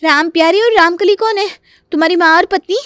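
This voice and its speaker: A woman voicing an indignant, sharp-tongued married landlady who scolds and exclaims in a comic story. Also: very high voice, fairly quick, no echo, clean studio sound. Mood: outraged. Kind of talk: Hindi comic story dramatization.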